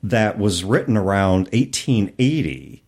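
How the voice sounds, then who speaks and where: Low-voiced man with animated delivery. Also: unhurried. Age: middle-aged. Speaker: podcast host. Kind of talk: casual podcast talk.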